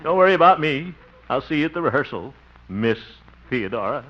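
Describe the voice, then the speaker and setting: An actor in an old radio comedy playing an insecure, exasperated young amateur play director with an American accent. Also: male voice, mid-range voice, very animated, brisk, close to an everyday voice. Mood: eager, nervous. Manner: self-pitying asides, exasperated outbursts. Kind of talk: 1940s-50s radio comedy acting. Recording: dull, noisy old-time radio recording.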